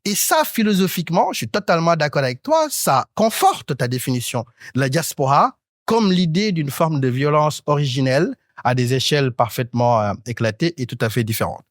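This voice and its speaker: A man in his thirties from Senegal, speaking as a talk show panelist in an articulate, academic way, often pausing on hesitant fillers. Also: fairly high pitch, lively intonation, no echo, clean studio sound. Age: 30s. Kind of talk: panel discussion.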